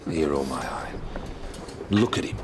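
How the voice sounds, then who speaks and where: An actor playing a scornful, grumbling British sailor in a period naval drama. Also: male voice, very deep voice, exaggerated voice. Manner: mocking, grumbling. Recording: outdoor ambience, clear background noise.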